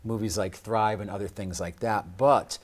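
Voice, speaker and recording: A man with a fairly low voice speaks in an unscripted radio discussion. He is discursive, stringing thoughts together into long, winding sentences. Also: fairly even intonation, normal speed.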